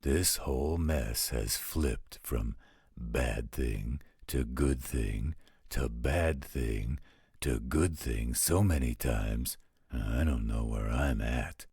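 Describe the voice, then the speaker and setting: Very deep, gravelly werewolf guardian. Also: male voice, a little mellow, no echo, clean studio sound, heavily acted. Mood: exasperated, fond. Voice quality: gravelly, husky. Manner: slow, grumbling, protective. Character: gruff, grumbling, overprotective male werewolf guardian. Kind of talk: roleplay audio drama acting.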